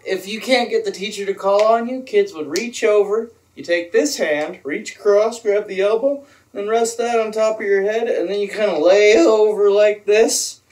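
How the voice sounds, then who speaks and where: An American man reading a picture book aloud to kids in a casual, playful way with joking asides. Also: high voice.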